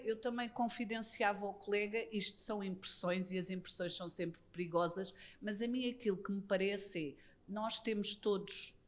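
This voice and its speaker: A Portuguese woman with a European Portuguese accent speaks on a conference panel, into a handheld mic. She is earnest and critical, with a mid-range voice, and she often repeats words as she builds her point. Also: mid-range voice. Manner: earnest, critical, with frequent restarts. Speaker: immigration lawyer, panelist. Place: embassy conference room.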